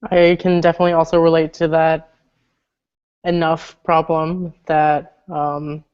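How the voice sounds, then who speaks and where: A speaker from Southern California talks in a measured way, pausing on fillers. The voice is smooth and clear but almost entirely flat in pitch. The audio is dull and lo-fi, like an online call. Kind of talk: casual video-call discussion.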